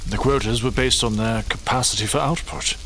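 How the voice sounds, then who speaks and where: A man's voice: mid-range voice, fairly even intonation, fairly quick, bright, forceful tone, a little hiss or hum. An actor playing a skeptical, commanding nobleman in an audio drama, speaking in a terse, formal, unimpressed way. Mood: stern.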